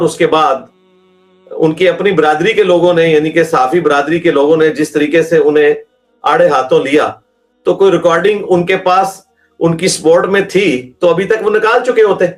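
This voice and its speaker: Argumentative Pakistani man with a raised voice. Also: on the high side. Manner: argumentative, emphatic, asks rhetorical questions. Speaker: religious scholar answering questions. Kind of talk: conversational question-and-answer talk.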